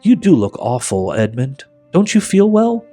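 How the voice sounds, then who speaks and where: A man voicing a happy, excited little girl in a storybook, eager and earnest in manner. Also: mid-range voice, unhurried, faint music behind. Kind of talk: audiobook character voice.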